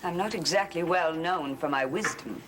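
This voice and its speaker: A woman's voice: lowish voice, moderate pace, natural voice. An actress playing a shrewd, composed woman in a gothic television soap, with a knowing, theatrical delivery. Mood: smooth, controlling. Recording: noisy old television soundtrack.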